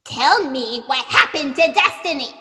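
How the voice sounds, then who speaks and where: Woman as a squeaky, flustered office underling. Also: unhurried, big-room sound, full character voice. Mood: panicked. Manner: excitable, apologetic. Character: flustered, fast-talking, anxious young female fact-checker underling. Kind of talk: sci-fi satire audio drama acting.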